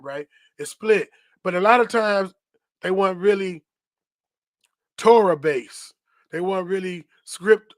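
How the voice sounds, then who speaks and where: A man's voice: on the high side, a bit bright, no echo. Preachy man teaching on a podcast. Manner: preachy, repetitive, rhetorical "right?" tags; reads dictionary definitions aloud. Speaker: podcast host.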